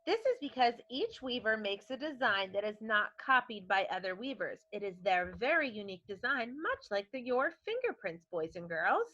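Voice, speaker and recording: A woman speaks as an elementary teacher, posing questions and explaining things to children. Her voice is bright and fairly high, with lots of melodic movement. The sound is slightly dull and lo-fi. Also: very animated, normal speed, hardly any pauses. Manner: explanatory, addressing young children directly. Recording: dull lo-fi recording.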